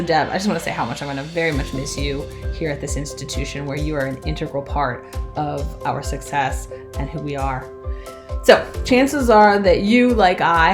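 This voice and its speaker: A woman giving a prepared tribute speech as a faculty colleague. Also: deep voice. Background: music.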